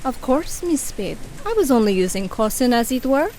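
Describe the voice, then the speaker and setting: A woman's voice: fairly high pitch, sing-song, normal speed, darkish tone, a little hiss or hum. Cairo, a woman playing a prim, polite crook. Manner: prim and formal. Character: fussy, polite female criminal. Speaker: actor in community audio drama.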